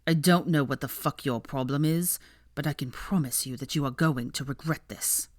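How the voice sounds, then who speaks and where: Woman acting a fierce, low-voiced young wizard. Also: moderate pace, dry recording, studio quality. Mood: sharp, threatening. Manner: forceful, snarling, clipped commands. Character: fierce, protective young wizard man, sharp-tongued and intense. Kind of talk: acted audiobook dialogue.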